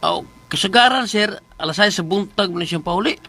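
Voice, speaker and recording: A man's voice: high-pitched, expressive, moderate pace, sharp tone, exaggerated voice. A man acting in a Philippine radio drama as a deferential, eager household helper with a Filipino accent. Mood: eager, helpful.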